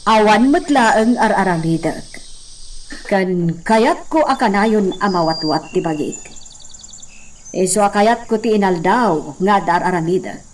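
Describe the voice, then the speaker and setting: A woman's voice: mid-range voice, expressive, unhurried, slight background noise, close to an everyday voice. A woman with an Ilocano accent playing a determined lady taking charge of a hacienda in a radio drama.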